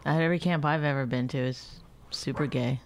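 A woman co-hosting a podcast, sarcastic and teasing with quick comebacks. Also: rumbling low, fairly even intonation, slight background noise. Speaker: podcast co-host. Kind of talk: casual banter podcast.

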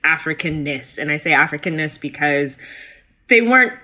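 Bright-voiced animated woman co-host. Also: moderate pace. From United States. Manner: animated, pitch jumps when excited, many fillers. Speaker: guest co-host. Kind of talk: casual podcast conversation.

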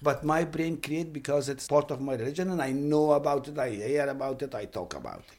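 A man's voice: mid-range voice, expressive, brisk, darkish tone. An older man, the host's father, talking casually with dry humor and firm opinions in broken English. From Afghanistan. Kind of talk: casual family conversation.

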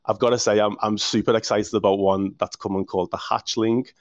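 A man's voice: lowish voice, level tone, brisk, no room sound. A man with a Liverpool accent, a council official answering interview questions with enthusiasm and frequent restarts. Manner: enthusiastic, restarts words often. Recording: muffled dry audio.

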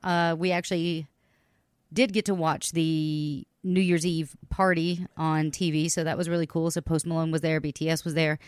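A woman's voice: deep voice, little pitch movement, moderate pace, clean tone, no echo. Giggly woman podcast host, dry sound. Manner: giggly, chatty, teasing. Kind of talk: casual podcast chat.

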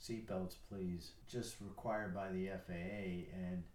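This is a retired older man with a deep, low voice, chatting in an easy, self-deprecating way. He sits back from the mic, and the room echoes around him. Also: steady tone, measured pace, a little mellow, recorded from a distance, slight background noise. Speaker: guest, retired airline pilot. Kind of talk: casual chat.

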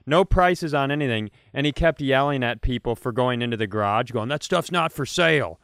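A man's voice: medium pitch, fairly quick, fairly bright tone, no room sound, clean studio sound. An American man co-hosting a comedy podcast, bantering casually in a rambling, playful way full of rhetorical questions.

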